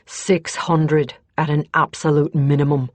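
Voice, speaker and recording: Lady doing a gruff merc guy, blunt, practical, bit skeptical, fantasy audiobook, no room sound. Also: sits low, little pitch movement, a little slow, a little mellow, no room sound. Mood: grimly satisfied. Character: gruff, practical male mercenary fighter. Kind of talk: audiobook character dialogue.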